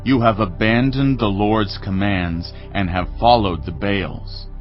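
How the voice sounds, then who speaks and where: A man's voice: lowish voice, slow pace, highs cut off, soft background music. A man playing a resolute, commanding prophet in a dramatised audio Bible, speaking in an earnest, declamatory way. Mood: stern challenge.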